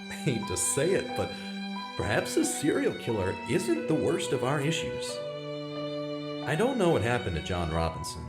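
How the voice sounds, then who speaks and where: A man acts a small-town investigative journalist in a vintage-style radio drama. He is earnest and confiding, with a dramatic, varied intonation over prominent music. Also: medium pitch. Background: prominent instrumental music.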